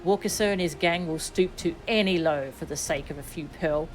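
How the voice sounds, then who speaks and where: A woman's voice: sits low, brisk, faint music behind, close to an everyday voice, poor audio. A woman voicing an eager, talkative merchant from a fantasy game, with a dramatic, salesy manner.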